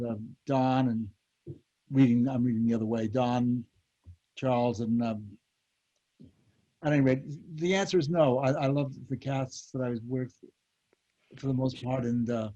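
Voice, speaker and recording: A man in his eighties with a mellow, dark-toned voice answers casually on a panel. He is candid and halting. The audio is a bit dull and lo-fi, like a call. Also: medium pitch. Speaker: panel guest, former child radio actor.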